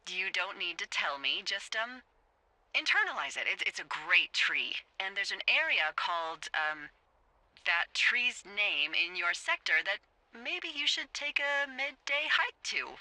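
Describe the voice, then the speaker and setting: An actress playing a wry, breezy American fire lookout speaking over a two-way radio in a video game. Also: female voice, mid-range voice, sing-song, measured pace, sharp tone, exaggerated voice, poor audio. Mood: coy, breezy. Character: wry, chipper, foul-mouthed adult woman fire lookout. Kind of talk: naturalistic video game dialogue.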